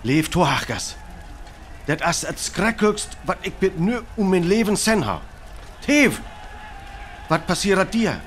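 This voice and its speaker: A man's voice: high voice, very animated, slow, pauses often, a bit bright, slight background noise, full character voice. A man playing an urgent, probing radio reporter giving a dramatic live report in a radio play. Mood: horrified, alarmed. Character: excitable male radio reporter at a crash site.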